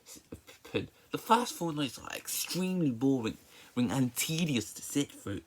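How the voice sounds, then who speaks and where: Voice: medium pitch, lively intonation, moderate pace, slight background noise, low-quality recording. A person giving a casual, opinionated movie review in an exasperated, emphatic way, often stammering and repeating words and syllables.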